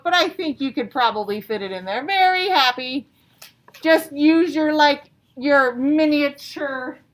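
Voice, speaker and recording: A woman working as an elementary teacher, leading a lesson and read-aloud for children in simple, repeated phrases. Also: high voice. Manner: instructional, simple phrasing with repetition. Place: indoor classroom. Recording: echoey classroom, distant mic, background noise.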